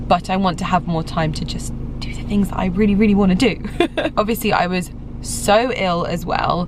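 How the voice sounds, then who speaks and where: A young English woman vlogger in a casual, rambling update, her voice slightly croaky after being ill. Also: mid-range voice, background noise. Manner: chatty, rambling, frequent restarts and fillers. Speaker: lifestyle vlogger. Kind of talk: casual vlog update to camera.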